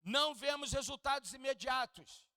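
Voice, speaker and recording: A man with a Rio de Janeiro Portuguese accent preaching a sermon in a church, dropping to hushed low asides and rising to loud, high peaks, joking with the congregation and asking them to repeat lines after him. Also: moderate pace, dry recording, clean studio sound. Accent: Carioca Portuguese. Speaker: pastor. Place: church hall.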